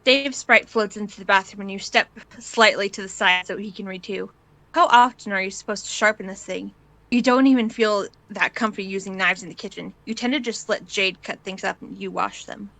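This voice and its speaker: A woman's voice: medium pitch, brisk, gravelly, a bit bright, no room sound, rough recording. A woman narrating a piece of fan fiction in the second person, as an amateur reader.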